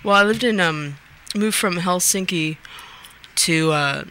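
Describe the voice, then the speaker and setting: A woman's voice: on the low side, unhurried, sharp tone, a little hiss or hum. A woman in her late twenties, from Finland, answering a radio host's questions in a hesitant way, full of ums and restarts. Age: late 20s. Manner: hesitant, many fillers and restarts. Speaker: radio guest. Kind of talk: casual radio interview.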